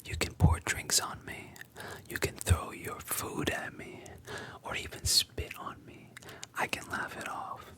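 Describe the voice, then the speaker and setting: A storyteller voicing a calm adult male pirate captain in a soft, breathy whisper. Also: measured pace, close to an everyday voice. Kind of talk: whispered ASMR story retelling.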